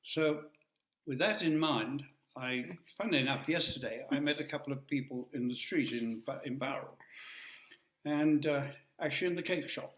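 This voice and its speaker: A man's voice: mid-range voice, moderate pace, fairly bright tone, reverberant, poor audio. An older man giving a chatty, joking talk to an audience, rambling with asides. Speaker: guest speaker.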